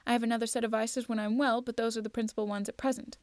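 Woman reading as courteous, curious gentleman. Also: a little high, flat delivery, racing, dry recording, studio quality, lightly acted. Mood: courteous, frank. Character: curious, polite ex-army man, the story's narrator.

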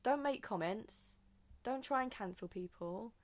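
Young woman podcast co-host, casual and quick, stumbles now and then, close mic. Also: a little high, animated. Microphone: sitting close to an uncovered mic.

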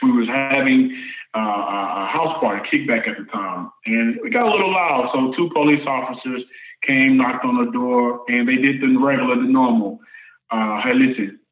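A man's voice: mid-range voice, normal speed. Man on a roomy, tinny line. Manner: casual storytelling. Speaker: podcast host.

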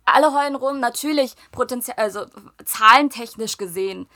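A young woman talks casually with a friend, her voice bright and forward. She tells stories lively, putting on the voices of the people in them and laughing often. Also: fairly high pitch, a little fast. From Germany. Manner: lively storytelling, acts out dialogue, laughs often. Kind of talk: casual chat.

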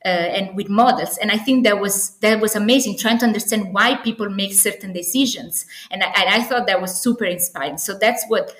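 The room rings around this woman's mid-pitched voice. She has an Italian accent and talks conversationally as an interview guest. She is enthusiastic, yet keeps pausing and rephrasing as she goes. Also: fairly bright tone. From Naples, Italy. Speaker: podcast guest, assistant professor. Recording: echoey, roomy sound.

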